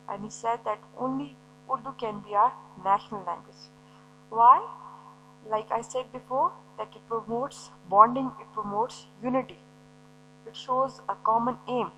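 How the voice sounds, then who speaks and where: Woman lecturer, fairly high, bright voice, explains step by step, faint hum underneath. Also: poor audio. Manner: explanatory, poses and answers her own questions. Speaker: teacher. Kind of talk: recorded classroom lecture.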